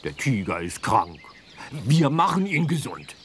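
A man's voice: medium pitch, big pitch swings, unhurried, a bit bright, a little hiss or hum. A man playing a small, earnest and naive bear in a children's audio play, protective in manner.

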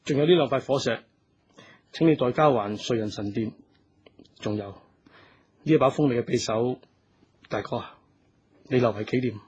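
An actor playing a devoted young hero in a radio drama, speaking earnestly and emotionally with a Hong Kong Cantonese accent. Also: male voice, mid-range voice, normal speed, long pauses, darkish tone, husky, lo-fi sound. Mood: solemn.

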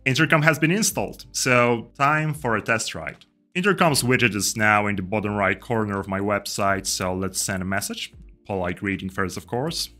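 Bright, low-pitched young man presenting a tutorial. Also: moderate pace. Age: young adult. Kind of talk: step-by-step tutorial narration. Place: indoor room. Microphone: desk microphone close to the speaker. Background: music.

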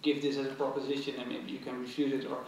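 A man in his thirties with a Dutch accent gives an academic response, pausing often and restarting his phrases. His voice rings through a large auditorium, and the stand mic picks him up from some distance. A faint hum of the room sits behind him. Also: medium pitch, flat delivery, a little mellow. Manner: thoughtful, hesitant, many fillers and restarts. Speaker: curator responding to a lecture. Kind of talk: academic talk. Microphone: stand mic at table. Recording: very echoey hall, mic from a distance.

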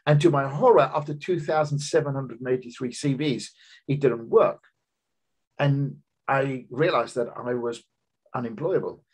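A man's voice: normal speed, a little mellow, breathy edge. A man in his fifties, a business coach, giving an earnest seminar talk over slides on a video call from a home office. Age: 50s. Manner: earnest, personal storytelling pitch with emphatic rises. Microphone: video call webcam.

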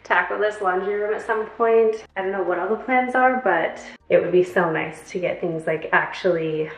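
A woman's voice: mid-range voice, normal speed, slightly rough, poor audio. A woman in her thirties talking in a friendly, upbeat and casual way, as a home vlogger chatting to viewers. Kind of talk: chatty vlog narration. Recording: music behind the voice.

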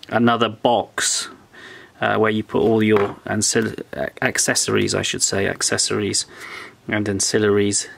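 A man talking through a piece of old electronics at his workbench, explaining each part step by step as he thinks aloud in a relaxed way. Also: lowish voice, vocal fry. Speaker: electronics restorer. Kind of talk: hands-on show-and-tell. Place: workbench indoors.

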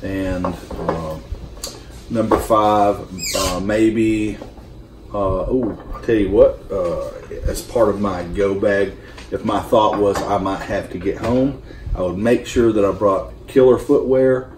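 A man in his thirties, a guest in a home kitchen, calmly talking through survival gear in a practical way with many fillers. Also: fairly low pitch, slow. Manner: steady, practical, hesitant with fillers. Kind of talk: casual explanatory chat. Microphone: far camera. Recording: roomy kitchen sound from a distant camera, faint hum.

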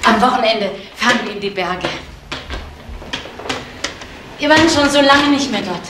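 An actress playing a worried middle-aged mother in a German crime radio play, soothing and gently reproving. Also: fairly high pitch, lively intonation, quick pace, lightly acted. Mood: exasperated. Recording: echoey room, clearly noisy old recording.

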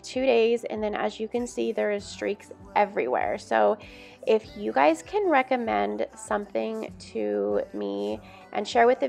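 A woman's voice: medium pitch, creaky, darkish tone. A woman giving a casual, friendly voice-over to her viewers as a home lifestyle vlogger. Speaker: home cleaning and decorating vlogger. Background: background music.

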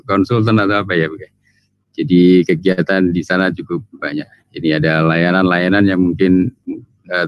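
A man's voice: deep voice, dry recording, lo-fi sound. A middle-aged man, moderating an online lecture in a courteous, wordy, formal but unscripted way. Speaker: moderator introducing a guest lecturer. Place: indoors, seated before an event backdrop on a video call. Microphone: video call microphone.

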